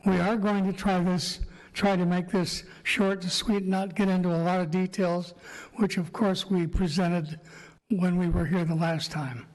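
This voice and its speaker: A man with a sore throat and a slightly raspy voice, giving a halting public presentation to a city council. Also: sits high, level tone, darkish tone. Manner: slow, halting, many fillers. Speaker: applicant speaking at council meeting. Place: council chamber. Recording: echoey council chamber.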